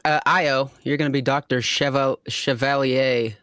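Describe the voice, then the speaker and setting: A woman hosts and narrates a comic fanfiction reading at a slow pace. She trips on written words and restarts them. The sound is close and dry. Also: very deep voice, steady tone, a bit bright, dry recording. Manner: stumbles over written words and corrects self. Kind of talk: comedic live story reading, chatty.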